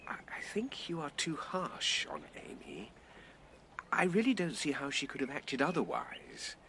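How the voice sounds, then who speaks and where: An actor playing an earnest, gentle and loyal writer friend in a period radio drama, with formal, tactful, pleading speech. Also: male voice, fairly high pitch, sing-song, a little slow, slight background noise, full character voice.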